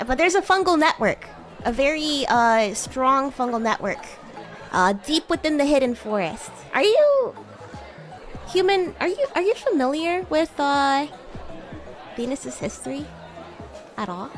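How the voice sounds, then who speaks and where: A woman plays a bratty, playful demon schoolgirl on a roleplay livestream. Her high voice is very lively and swings widely, yet she takes her time between phrases. Her manner is teasing and giggly. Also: measured pace, long pauses, soft background music, a faint crowd. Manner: teasing, giggly, addresses listener as human. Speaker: VTuber streamer in character, chatting with viewers. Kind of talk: improvised in-character roleplay livestream chat.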